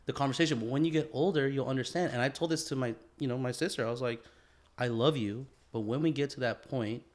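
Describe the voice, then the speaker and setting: A man's voice: medium pitch, fast. Laid-back man co-hosting a podcast. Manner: laid-back, lots of agreeing fillers. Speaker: podcast co-host. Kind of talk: casual chat.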